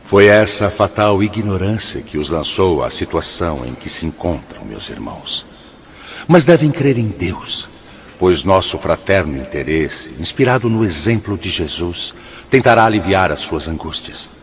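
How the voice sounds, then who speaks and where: An actor with a Brazilian accent playing a grave, wise spirit mentor in a radio drama, measured and oratorical. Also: male voice, low-pitched, very animated, slight background noise. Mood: solemn.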